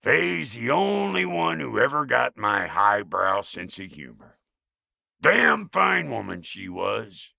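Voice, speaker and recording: A man voicing a gruff, cranky, foul-mouthed but fond dwarf blacksmith in a video game, with a raspy, folksy drawl. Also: medium pitch, big pitch swings, slow, sharp tone, no room sound, studio quality, full character voice. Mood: wry, fond. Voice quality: gravelly, raspy. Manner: folksy, crude, blustering drawl. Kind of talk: video game character dialogue.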